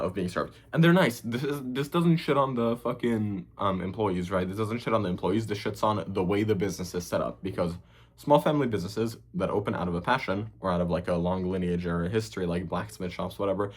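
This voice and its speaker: Young male cooking host, Hungarian accent gone a bit American, low husky voice, profane off-the-cuff vlog rant. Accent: Hungarian, partly Americanized. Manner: profane, rambling, with frequent fillers. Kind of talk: casual rant to camera.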